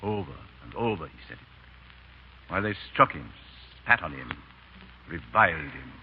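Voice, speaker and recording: A man's voice: very deep voice, sing-song, normal speed, heavily acted. An actor in a 1930s radio drama playing a grave, compassionate French man of letters, speaking with earnest drama. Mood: grave, moved. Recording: dull, hissy 1930s radio recording.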